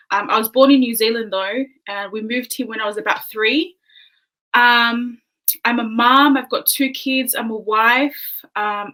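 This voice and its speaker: Bright-voiced woman guest. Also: on the high side, rough recording. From Australia. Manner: modest, friendly, many "um"s. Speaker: podcast guest, early childhood educator. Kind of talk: casual interview chat.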